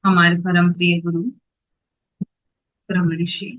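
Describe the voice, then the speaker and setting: Even-toned meditation guide, dull recording. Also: medium pitch, dry recording. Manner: unhurried pauses between short phrases.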